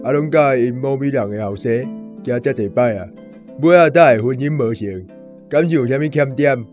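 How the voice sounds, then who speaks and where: An actor with a Taiwanese accent playing an insinuating, gossiping village neighbour in a radio drama. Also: male voice, mid-range voice, fairly quick, a little mellow, faint music behind, close to an everyday voice.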